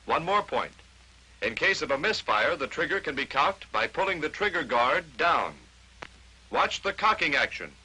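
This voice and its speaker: A man's voice: a little high, sing-song, moderate pace, bright, forceful tone, slightly rough, poor audio. An American man narrating a 1940s instructional training film, explaining step by step in short declarative statements on a vintage film soundtrack.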